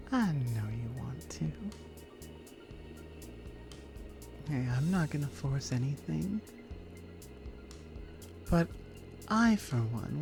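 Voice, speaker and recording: A woman's voice: rumbling low, gravelly, voice pushed down, full character voice. A woman playing a flirty, confident, encouraging female oni gym buddy in an intimate, teasing ASMR roleplay. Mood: seductive, coaxing.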